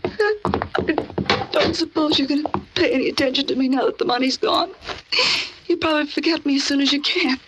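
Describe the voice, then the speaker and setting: An actress playing a heartbroken, pleading young femme fatale in 1940s radio melodrama. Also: female voice, sits high, moderate pace, long pauses, fairly bright tone. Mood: hurt, suspicious. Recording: noisy old radio recording.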